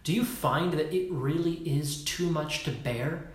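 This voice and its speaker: A man's voice: a little high, measured pace, reverberant. A man leading a Facebook Live talk as a workshop leader, emphatic and casual, swearing now and then and acting out postures as he explains.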